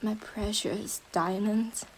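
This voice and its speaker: A woman's voice: medium pitch, fairly even intonation, slow, slight background noise, low-quality recording. A woman playing an obsessive, possessive girlfriend in a roleplay audio drama, cooing endearments to the listener with a sweet but menacing manner. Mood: obsessive, tender.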